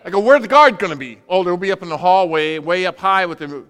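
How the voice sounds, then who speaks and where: A male preacher delivers his sermon in a high, forceful voice that rings through a long hall reverb. He tells it as a dramatic storyteller, breaking it into short punchy phrases and acting out the dialogue. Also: animated, quick pace, clear, resonant tone. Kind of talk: sermon with animated personal storytelling. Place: large reverberant room, speaking to a congregation.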